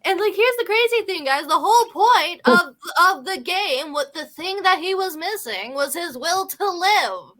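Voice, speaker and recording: A woman's voice: extremely high pitch, lively intonation, moderate pace, hardly any pauses, fairly bright tone. A woman in casual film chat, using youthful slang and plenty of "like" as filler.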